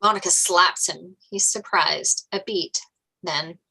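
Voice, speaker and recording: A woman's voice: fairly low pitch, slow pace, vocal fry, bright, forceful tone, breathy edge, poor audio. A woman reading screenplay stage directions as scripted narration.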